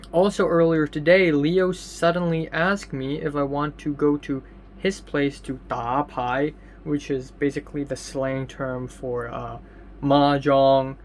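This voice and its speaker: A young man rambling through a casual video diary with many ums and restarts, his voice slightly raspy. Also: mid-range voice, a little hiss or hum. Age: young adult. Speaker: vlogger.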